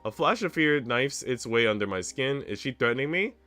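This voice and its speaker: Expressive male streamer reading a visual novel. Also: mid-range voice, a little fast, faint music behind. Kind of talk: reading game narration aloud with casual commentary.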